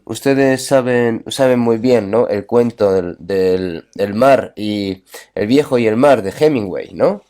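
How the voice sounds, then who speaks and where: A man's voice: fairly low pitch, takes it slowly, warm tone. Man preaching with constant rhetorical check-ins. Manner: checks in with listeners through frequent tag questions; storytelling, rhetorical questions. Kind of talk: sermon with scripture readings.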